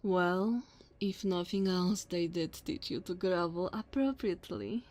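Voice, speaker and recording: Woman doing a tall vampire noblewoman for asmr roleplay, really slow and drawn out, haughty, bossy, mocking, talks all formal. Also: on the low side, lively intonation, a little mellow. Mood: condescending. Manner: slow, condescending, theatrical formal diction.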